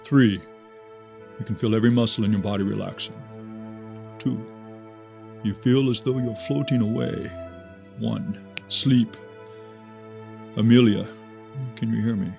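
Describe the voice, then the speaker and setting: A man's voice: on the low side, unhurried, vocal fry, pauses often, music in the background. An actor playing a careful, commanding hypnotherapist in an audio drama, a man guiding a patient. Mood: soothing, commanding.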